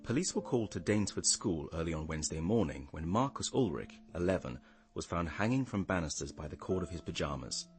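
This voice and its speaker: An actor playing an impersonal reader of a grim newspaper report in a radio drama, factual in delivery. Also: male voice, low-pitched, sing-song, a little fast, faint music behind. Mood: sombre.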